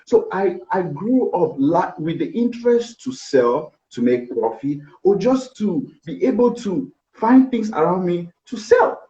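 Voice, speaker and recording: A man's voice: sits high, very animated, a little slow, few pauses, warm tone. A middle-aged man with a Cameroonian accent, telling personal stories conversationally as an interview guest on a video call. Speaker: interview guest, business executive. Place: indoor office. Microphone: video call, seated back from device. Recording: echoey, dull video-call audio.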